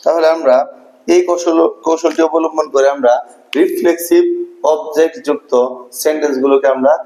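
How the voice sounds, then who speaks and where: A man's voice: fairly high pitch, fairly even intonation, fairly quick, mellow, low-quality recording. A man teaching a grammar lesson, explaining step by step and repeating key words. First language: Bengali.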